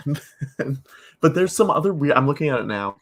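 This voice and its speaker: A man's voice: fairly high pitch, sing-song, a little fast, a bit bright. A man talking casually in a podcast discussion. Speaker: podcast speaker. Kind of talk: casual chat.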